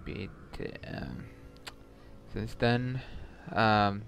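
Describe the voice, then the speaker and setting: Slow-talking young man gaming. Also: lowish voice, lively intonation, gravelly, fairly bright tone, soft background music. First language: Swedish. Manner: muttering, reacting to a horror game. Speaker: gamer. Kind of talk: let's play commentary. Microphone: headset mic.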